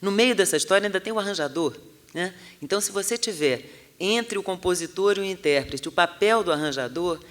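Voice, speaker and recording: A Brazilian man explains in a didactic, analytical way as an interviewed expert. His voice sits a little high for a man. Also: expressive, fairly quick, a bit bright.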